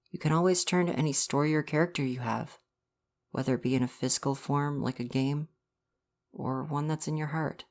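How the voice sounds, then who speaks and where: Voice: mid-range voice, lightly acted. A performer playing an affectionate visual novel boyfriend in an ASMR roleplay, earnest and reassuring. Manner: soft-hearted, reassuring, earnest. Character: gentle, affectionate dating-sim boyfriend come to life. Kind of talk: ASMR roleplay, personal affirmation.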